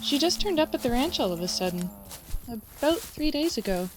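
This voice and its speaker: A woman playing a chatty, teasing, warm-hearted young ranch girl in a fantasy audio drama, with folksy phrasing. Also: high voice, sing-song, normal speed, rough recording. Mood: teasing, friendly.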